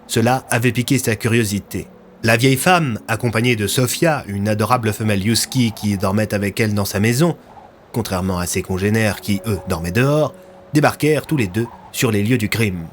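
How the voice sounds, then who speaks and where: A man's voice: fairly low pitch, brisk, a little hiss or hum. A man narrating a scripted audio drama as a storyteller.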